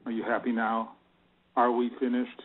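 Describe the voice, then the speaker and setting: A man's voice: mid-range voice, completely flat delivery, moderate pace, natural voice. A man playing a cornered, exasperated bank boss in an audio play, pleading and protesting. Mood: bewildered. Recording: tinny phone-like sound, clear background noise.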